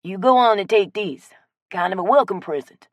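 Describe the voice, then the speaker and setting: A woman voicing a friendly, generous old man with a loud, drawling way of talking in an audiobook. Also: medium pitch, lively intonation, normal speed, no echo, lightly acted. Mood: folksy, hearty. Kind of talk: audiobook character voice.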